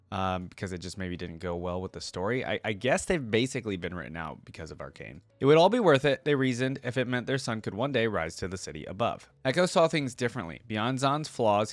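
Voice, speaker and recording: A man's voice: fairly low pitch, dry recording. A man hosting a reaction video, switching between reading aloud and casual conversational commentary. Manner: conversational asides between read-out passages. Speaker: YouTube host reacting to game lore.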